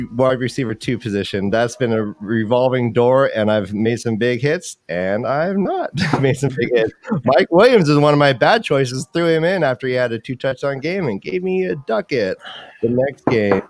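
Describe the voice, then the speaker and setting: A man's voice: medium pitch, lively intonation, normal speed. A man co-hosting a casual, joking livestream with playful boasting, often repeating his phrases. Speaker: livestream co-host. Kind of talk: casual joking fantasy football banter.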